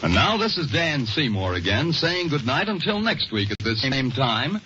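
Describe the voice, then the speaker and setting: A man's voice: mid-range voice, sing-song, normal speed, pushed and bright, a little hiss or hum. A man reading program credits and sign-offs as a 1940s radio announcer. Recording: dull vintage radio recording.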